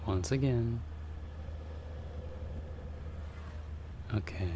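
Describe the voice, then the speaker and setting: A lowish-pitched actor playing a male investigator with a knowledge of magic, calm and guarded. He guides a novice patiently, in measured phrases with pauses, as in an audio roleplay. There is clear background noise and ambience behind him. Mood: patient, explaining. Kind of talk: ASMR audio roleplay acting.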